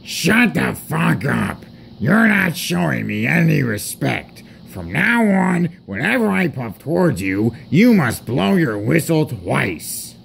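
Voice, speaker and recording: A man's voice: on the high side, big pitch swings, extremely slow, creaky, hardly any pauses, sharp tone, slight background noise, voice pushed up, heavily acted. A man voicing a grand, bossy, short-tempered male express engine in a storybook parody, pompous and demanding. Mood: angry, bossy.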